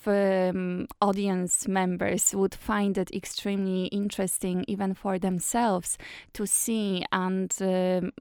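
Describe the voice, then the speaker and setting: A woman is talking as a radio guest, thoughtful and unhurried, often pausing on fillers. Her voice is smooth and resonant, with a gravelly edge of fry. The studio sound is dry and close. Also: mid-range voice, a little mellow, no room sound. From Poland. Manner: thoughtful, many hesitation fillers. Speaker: guest actress. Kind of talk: radio interview, explaining at length.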